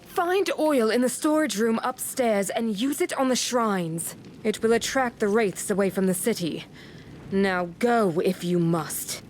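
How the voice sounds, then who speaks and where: A woman playing an imperious, condescending high priestess in a video game, pious and measured. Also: darkish tone, slight background noise, lightly acted. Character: haughty, pious high priestess, disdainful of commoners.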